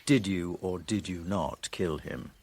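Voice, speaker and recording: An actor in a radio drama playing a composed, skeptical interrogator, speaking in a deliberate, dry and pointed way. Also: male voice, low-pitched, darkish tone, a little hiss or hum. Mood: probing. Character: probing, skeptical, composed male interrogator and narrator.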